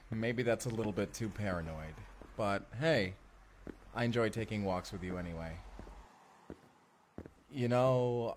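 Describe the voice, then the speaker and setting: A man's voice: lowish voice, slight background noise, natural voice. A man playing an affectionate, teasing husband from the future in an intimate audio roleplay. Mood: relieved, casual.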